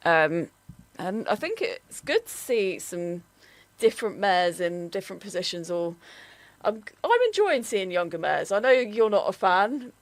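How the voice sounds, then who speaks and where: A woman's voice: medium pitch, big pitch swings, unhurried, dry recording. A woman presenting a local radio show, talking in a chatty way with plenty of ums and likes. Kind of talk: casual radio chat.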